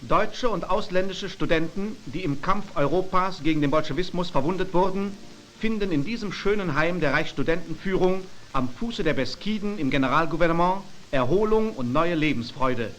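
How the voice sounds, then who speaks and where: A man's voice: on the high side, lively intonation, moderate pace, slight background noise, rough recording. A man narrating a scripted 1940s wartime propaganda newsreel in a formal, declamatory announcer style. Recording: 1940s newsreel soundtrack, loud music. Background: loud music.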